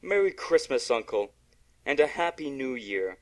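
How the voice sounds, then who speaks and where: An actor playing a cheerful, warm-hearted young nephew in a radio play, earnest and hearty. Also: male voice, mid-range voice, very animated, normal speed, lightly acted. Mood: pleading, warm. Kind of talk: radio drama acting.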